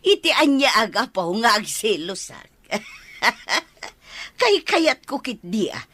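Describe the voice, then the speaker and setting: A woman's voice: fairly high pitch, big pitch swings, takes it slowly, bright, forceful tone, dry recording, full character voice. A woman playing a fond, teasing middle-aged mother in an Ilocano radio drama. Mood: amused.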